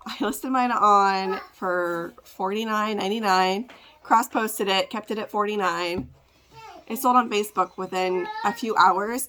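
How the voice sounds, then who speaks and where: A woman with a congested, raspy voice, chatting casually as she packs orders to ship at home, rambling with lots of fillers. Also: mid-range voice, bright, forceful tone, slight background noise. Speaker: part-time online reseller. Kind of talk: casual ship-with-me vlog chat.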